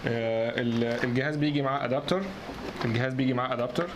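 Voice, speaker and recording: A man with an Egyptian accent explains technical setup steps at a very rapid pace. His delivery is informal and stammering, with frequent restarts. His pitch is average and there is clear noise in the background. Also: fairly even intonation. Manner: stammering, restarts words often. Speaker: co-presenter relaying instructions. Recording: noticeable ambient background noise.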